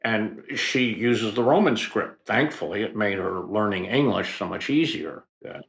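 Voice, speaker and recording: An older man explaining history as a podcast guest, in a professorial, unhurried way with fillers and asides. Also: fairly low pitch, animated, vocal fry, fairly bright tone, roomy sound. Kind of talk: expert explanation in a podcast interview.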